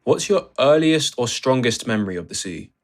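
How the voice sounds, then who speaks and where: A man's voice: medium pitch, big pitch swings, moderate pace. A man in casual conversation, giving brief, matter-of-fact answers.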